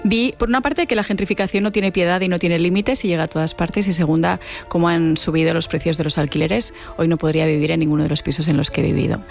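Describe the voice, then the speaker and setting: A woman from talks quickly in an unscripted interview. Her voice is creaky, with light music behind it. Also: fairly low pitch, steady tone, darkish tone. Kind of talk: spontaneous spoken testimony.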